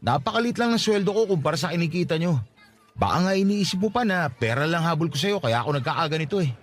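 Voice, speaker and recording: A man's voice: a little high, expressive, quick pace, faint music behind. An actor playing a lovestruck, persistent young working-class man, pleading insistently in a radio drama. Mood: hurt, insistent. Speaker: voice actor in a radio-style love story. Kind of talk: Filipino radio drama acting.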